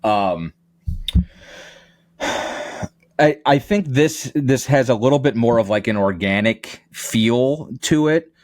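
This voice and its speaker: A man's voice: medium pitch, expressive, slow, pauses often, no echo, clean studio sound. A man giving conversational sports analysis as a podcast guest. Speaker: radio play-by-play announcer, podcast guest.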